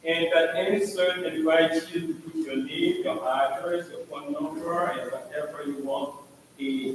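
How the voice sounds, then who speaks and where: A middle-aged man from Haiti, speaking with a Haitian accent, introduces himself to his congregation as their priest, talking slowly and haltingly with many restarts. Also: on the high side, a little hiss or hum. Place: large church hall. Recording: echoey church hall from far off.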